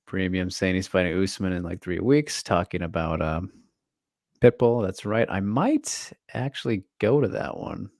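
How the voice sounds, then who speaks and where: A man with a lowish voice hosts a livestream in a relaxed way. He reads viewer comments aloud and adds quick remarks. The sound is dry and close. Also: dry recording. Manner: easygoing, laughs often, short replies. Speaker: livestream host reading chat. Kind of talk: casual chat on a livestream.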